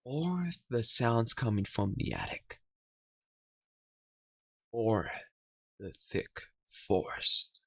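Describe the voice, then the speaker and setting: A man who streams games, reading a horror game's story aloud in a slow, dramatic storytelling way. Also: mid-range voice, very animated, vocal fry, fairly bright tone, slightly rough, no echo, studio quality. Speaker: gaming streamer.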